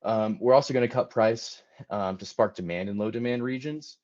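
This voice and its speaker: A man's voice: mid-range voice, normal speed, darkish tone. A man giving a prepared business presentation as a presenter, somewhat hesitant with frequent ums. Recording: dull lo-fi audio.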